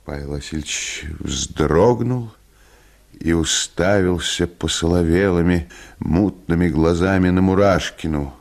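A man reading a comic short story for radio as an expressive, theatrical narrator. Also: low-pitched, measured pace.

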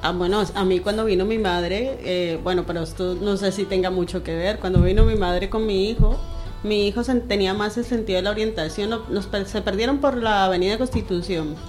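A woman from Colombia chatting on a radio panel, lively and laughing often. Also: medium pitch, a little fast, faint music behind. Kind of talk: casual radio chat.

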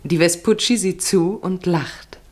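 A woman with a slightly low voice narrates a literary radio play. Her delivery is measured and descriptive, carefully scripted throughout. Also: a little mellow, breathy edge.

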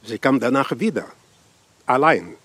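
Husky, stern old grandfather. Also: mid-range voice, big pitch swings, unhurried, fairly bright tone, no echo, heavily acted. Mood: guarded. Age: older. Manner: curt, authoritative. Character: stern, proud old Portuguese immigrant grandfather, defensive and commanding. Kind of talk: radio crime drama acting.

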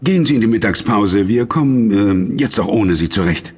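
An actor playing an imperious corporate executive in an audio drama, formal and smug, quick to fawn on superiors. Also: male voice, lowish voice, expressive, a little mellow, slightly rough. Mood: imperious, curt. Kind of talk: German audio drama acting.

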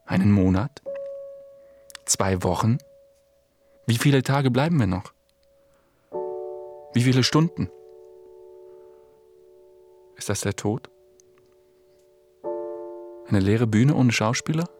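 A man's voice: mid-range voice, takes it slowly, pauses often, a little mellow, soft background music, lightly acted. A man in his forties playing a man who faces death, speaking a reflective, anguished monologue in an audio drama. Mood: fearful, searching. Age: 40s. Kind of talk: theatrical audio drama monologue over music.

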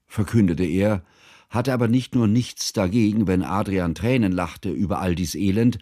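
Low-voiced scholarly narrator. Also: male voice, a little mellow, dry recording, clean studio sound. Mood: measured, wry. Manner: formal, measured, solemn. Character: earnest, scholarly male narrator, devoted old friend. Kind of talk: scripted literary narration in radio drama.

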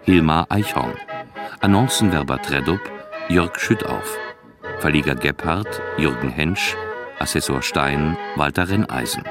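Very deep, slow male announcer over music. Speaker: announcer of title and credits. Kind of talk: scripted credits announcement.

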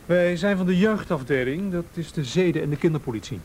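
An actor in a radio play playing a calm, firm police officer who is polite, official and insistent. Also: male voice, fairly high pitch, a little fast, slight background noise. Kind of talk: naturalistic radio play acting.

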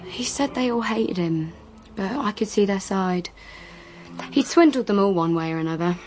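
A woman's voice: mid-range voice, lively intonation, moderate pace, darkish tone, soft background music, natural voice. An actress playing a blunt, gossipy working-class woman in a radio drama, chatty and scornful. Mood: matter-of-fact, gossipy.

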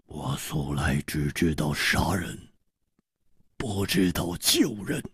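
Icy male assassin, put on by a narrator, wuxia audiobook, terse, low, slow and hushed. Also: breathy edge, no room sound, exaggerated voice. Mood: cold. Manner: icy, slow, clipped delivery. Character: cold, taciturn male assassin of few words.

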